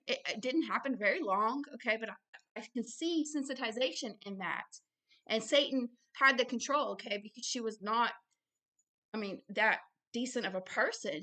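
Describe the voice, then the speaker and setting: A woman's voice: fairly high pitch, bright, forceful tone. A woman hosting informal religious commentary, reading aloud and adding personal asides in an earnest, insistent way, often repeating phrases for emphasis. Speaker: host and religious teacher.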